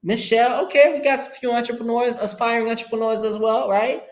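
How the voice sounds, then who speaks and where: A middle-aged man from Haiti, a Creole speaker, gives an informal, upbeat motivational webinar pitch in short punchy phrases. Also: steady tone, brisk. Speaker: entrepreneur hosting an online presentation. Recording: roomy, echoing sound.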